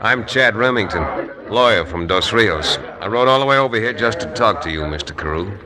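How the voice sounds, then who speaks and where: An actor playing an easygoing frontier lawyer who narrates a 1950s radio western. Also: male voice, on the low side, expressive, brisk, lightly acted. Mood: laid-back. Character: easygoing frontier lawyer, man, dry wit. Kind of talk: 1950s radio drama acting. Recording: old radio broadcast with crowd noise.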